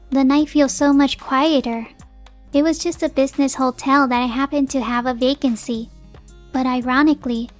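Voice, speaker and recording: A woman's voice: sits high, lightly acted. A resolute young mother played by a woman narrates her story in earnest, dramatic manga dub style. She sounds like a wronged wife holding firm, with faint music underneath. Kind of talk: scripted first-person narration, manga dub.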